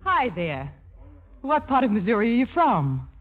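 An actress playing a warm, teasing female wartime entertainer who coaxes with playful patter in a 1950s radio drama. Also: a little high, very animated, moderate pace. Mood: gentle, teasing. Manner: playful, encouraging stage patter with questions. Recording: tinny, muffled 1950s radio recording.

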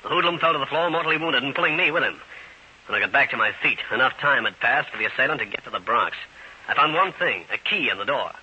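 An American actor playing a tough, wry New York private detective, narrating and talking briskly in 1940s radio drama style. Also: male voice, mid-range voice, lively intonation, sharp tone, breathy edge, heavily acted. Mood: matter-of-fact. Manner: brisk, hard-boiled, clipped delivery. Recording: hissy, dull old-time radio recording.

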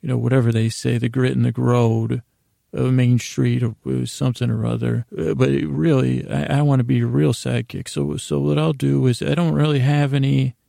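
A middle-aged man hosting a bedtime podcast, rambling whimsically in a creaky voice, often halting and losing his thread. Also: mid-range voice, no room sound, clean studio sound. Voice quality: creaky, gravelly, mellow voice. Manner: meandering, halting, trails off mid-thought, whimsical. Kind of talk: rambling, improvised bedtime monologue to listeners.

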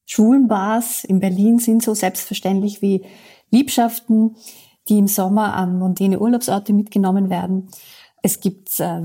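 Book reviewer, woman, soft dark timbre with some rasp, delivering a recommendation. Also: mid-range voice.

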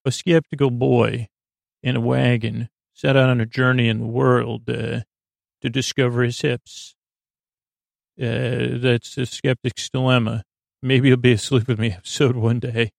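Guy with a creaky gravelly voice rambling on a sleep podcast, kinda lowish, goofy, keeps losing his thread, super dry close sound. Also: flat delivery, no echo. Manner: halting, meandering, often loses his thread; silly, whimsical, reassuring. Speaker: podcast host. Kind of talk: rambling bedtime podcast monologue.

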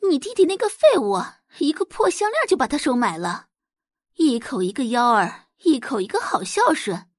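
A spiteful, pampered rich wife, acted by a woman in an audio drama. She whines and sneers in a high, very animated voice with a husky edge, full of exclamation and scorn. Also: normal speed, no room sound, clean studio sound. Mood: indignant, whiny. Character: petulant, spiteful wealthy wife, scornful gossip.

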